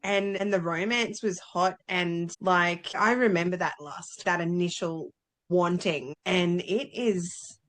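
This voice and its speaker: A woman's voice: lowish voice, slow pace, a bit bright. A woman co-hosting a book club podcast, talking casually in an enthusiastic, teasing way. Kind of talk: casual chat.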